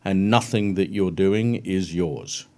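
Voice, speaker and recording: A middle-aged man with a London English accent, a guest chatting casually and warmly about his career in an interview. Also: deep voice, takes it slowly. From England. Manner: friendly, chatty, brief laughs.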